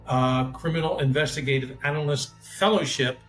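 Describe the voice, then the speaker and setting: A man's voice: medium pitch, fairly quick, fairly bright tone, soft background music. A man co-hosting a podcast, chatting casually and rambling with lots of fillers. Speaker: podcast co-host, former profiler. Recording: roomy echo.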